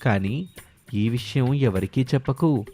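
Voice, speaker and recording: A man's voice: medium pitch, slow pace, warm tone, a little hiss or hum, lightly acted. A man voicing a sly swindler posing as a holy man, solemn and coaxing, in a moral story. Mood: secretive, instructing. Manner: solemn, pronouncing, coaxing with promises and warnings. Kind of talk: animated moral story dialogue.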